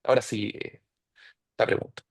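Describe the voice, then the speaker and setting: A man's voice: normal speed, dry recording, studio quality. A man moderating a webinar, summing up points in an orderly, courteous style. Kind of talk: organized moderating and summarizing.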